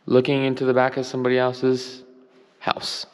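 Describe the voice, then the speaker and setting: Realtor type, mid-pitched man, eager home-tour chatter, empty-room echo. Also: completely flat delivery, normal speed. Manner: enthusiastic, pointing out details. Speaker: real estate agent giving a home tour. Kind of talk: casual walkthrough narration. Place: empty house, large bare rooms. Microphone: handheld camera. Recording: long reverb of empty rooms.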